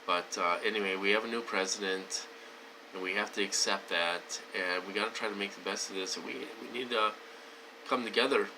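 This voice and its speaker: A man's voice: lowish voice, fairly even intonation, fairly quick, background noise. A man talking casually to his viewers, wheezy and short of breath, rambling with many fillers and restarts. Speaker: vlogger. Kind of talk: casual talk to camera.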